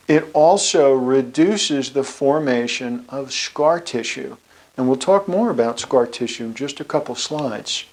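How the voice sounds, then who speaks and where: A man, a chiropractor, giving an explanatory talk with slides, measured and slowing on key terms. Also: medium pitch.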